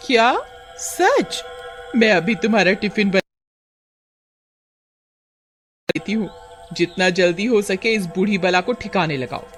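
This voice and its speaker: A quarrelsome young wife, played by a man in a horror tale, pitched high and very animated. She nags and complains with scorn, thinking only of herself. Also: normal speed, faint music behind, low-quality recording. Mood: excited, scornful. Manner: nagging, complaining. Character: shrill, quarrelsome young daughter-in-law, scornful and self-centred.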